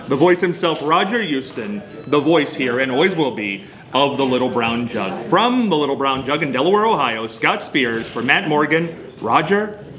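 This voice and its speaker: A man hosting a live radio broadcast from an outdoor racetrack event, with brisk, upbeat broadcaster patter. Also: mid-range voice, big pitch swings. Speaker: radio show host. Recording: live radio remote with loud crowd.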